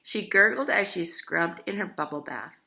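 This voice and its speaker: A woman reads a picture book aloud for children. Her voice is bright and very animated, and she is theatrical and emphatic, dropping in chatty asides. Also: lowish voice, moderate pace, creaky. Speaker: storybook reader.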